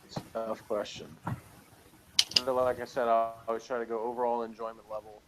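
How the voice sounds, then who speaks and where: A man's voice: mid-range voice, level tone, brisk, heavy background noise. Man chatting casually, thinking aloud. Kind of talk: casual unscripted review chat.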